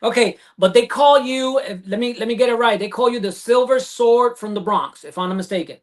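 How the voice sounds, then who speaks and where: A man hosting an online interview show over a video call, effusive and halting with many fillers and restarts. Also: very high voice. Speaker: show host.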